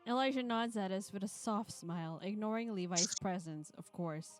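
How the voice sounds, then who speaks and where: A woman's voice: medium pitch, normal speed. Woman streamer reading aloud over music. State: tired. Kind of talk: live-stream read-aloud and casual banter. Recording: music behind the voice.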